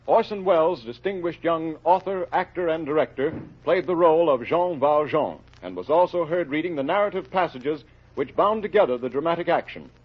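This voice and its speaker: Male 1930s radio announcer: formal, fairly high, husky, through a muffled old broadcast. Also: lively intonation, a little fast.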